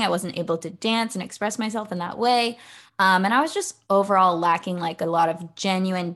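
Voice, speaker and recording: A woman's voice: on the low side, a bit bright. A young woman guesting on a podcast as an author and coach, talking casually and chattily about her own story. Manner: casual, chatty, many "like" fillers. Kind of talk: podcast interview.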